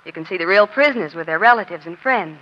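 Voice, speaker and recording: A woman's voice: lowish voice, expressive, fast talker, close to an everyday voice. A woman playing a savvy, chatty American newspaper reporter who feigns casual innocence in a 1940s radio crime drama. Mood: slyly chatty. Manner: breezy, chatty, slyly casual. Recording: dull, lo-fi old radio recording.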